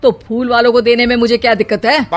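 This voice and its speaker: A woman's voice: fairly high pitch, little pitch movement, quick pace, soft background music, close to an everyday voice. A woman voicing a frustrated middle-aged father in a cartoon story, blunt, bitter and complaining. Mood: irritated, blaming.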